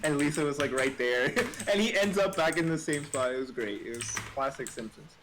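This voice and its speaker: A man's voice: fairly high pitch, a little fast. A man chatting casually in a hesitant, rambling way, often saying "like". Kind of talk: casual chat. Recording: noticeable background noise with typing. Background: keyboard typing and clicks.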